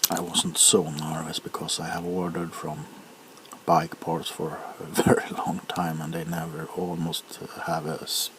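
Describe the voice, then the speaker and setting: A very low-voiced man chats casually in ASMR style, halting and correcting himself as he goes. The recording has clear background noise, with clicks, tapping and crinkling of packaging behind the voice. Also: little pitch movement. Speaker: video host showing items. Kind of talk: ASMR, casual rambling chat.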